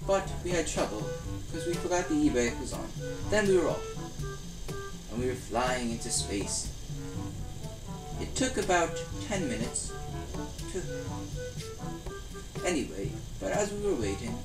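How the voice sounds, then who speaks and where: A man voicing a cheerful, daft British inventor who loves cheese, in a gentle ASMR cartoon parody, speaking in an unhurried, pottering way. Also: fairly high pitch, very animated, reverberant, far from the mic, loud music behind the voice, poor audio. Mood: fond storytelling. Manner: gentle, unhurried, pottering storytelling with small hums and asides.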